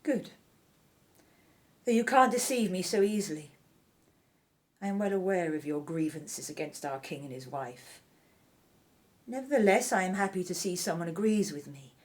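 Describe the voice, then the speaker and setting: Woman acting as scheming, ruthless, low-voiced Egyptian queen. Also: lively intonation, close to an everyday voice. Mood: scheming, commanding. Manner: imperious, deliberate, menacing. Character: scheming, ruthless ancient Egyptian royal wife, mother. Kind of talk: dramatic audio drama acting.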